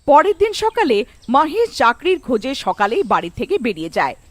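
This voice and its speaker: A woman narrating a scripted horror tale for a cartoon in a dramatic, expressive storytelling manner. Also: high voice, normal speed, faint music behind.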